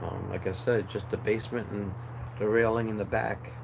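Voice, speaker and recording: A young man with a lowish voice and a hoarse, fading throat chats on a home livestream, wandering from thought to thought with lots of ums and restarts. A steady hum of background noise sits clearly under him. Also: normal speed. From New Jersey, USA. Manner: rambling, relaxed, many fillers and restarts. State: losing his voice. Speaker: livestream host. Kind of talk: casual live chat.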